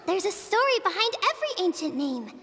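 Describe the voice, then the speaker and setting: A woman's voice: extremely high pitch, sing-song, fairly quick, bright, forceful tone, in a large hall, a little hiss or hum, exaggerated voice. A woman voicing a young village girl in a video game, an eager storyteller with a childlike, earnest manner. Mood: earnest narrating.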